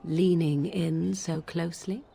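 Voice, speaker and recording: A sly, aloof woman from a fantasy game. Her low-register voice is very husky and full of creak. She speaks in archly formal, teasing, sardonic phrases. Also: steady tone, measured pace, warm tone, heavily acted. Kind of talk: video game character acting.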